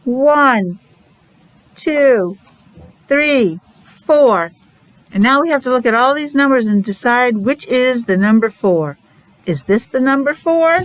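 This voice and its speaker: A woman's voice: high-pitched, animated, rough recording. A woman preschool teacher leading a counting lesson for young children, encouraging and asking lots of questions. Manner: encouraging, asks questions with pauses.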